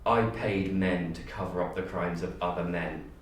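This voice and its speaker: A man playing a guilt-ridden, weary confessor in a dramatic monologue, grave and deliberate, recorded in an echoey room. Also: deep voice, fairly even intonation, lightly acted. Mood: grim confession. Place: university room audition. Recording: echoey room, distant mic, faint noise.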